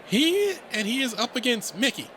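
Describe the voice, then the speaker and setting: A man's voice: extremely high pitch, big pitch swings, normal speed, pushed and bright, slight background noise, pitched above the performer's own voice, full character voice. A man voicing a timid little male rabbit in an audio story, announcing in a stammering, hesitant, nervous manner. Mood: flustered.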